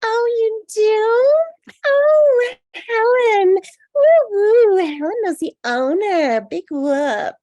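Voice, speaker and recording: A woman's voice: very high voice, lively intonation, very slow, dark tone, dry recording, full character voice. A woman in a comedy table read playing a drugged, loopy airline passenger with a woozy, rambling drawl. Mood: mocking.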